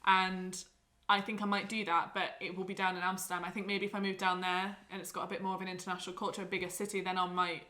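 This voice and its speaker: Bright, chatty young Englishwoman with a Canadian-tinged accent. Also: on the low side, flat delivery, quick pace. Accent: English mixed with Canadian. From England. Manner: chatty, self-deprecating, laughs often. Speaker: podcast host. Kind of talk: casual podcast chat.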